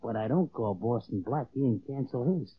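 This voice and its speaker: A man's voice: medium pitch, moderate pace, husky, natural voice. An actor playing a dim, boastful gangster henchman in 1940s radio style, with an American accent and slangy, ungrammatical talk, eager for approval. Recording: muffled tinny old radio recording.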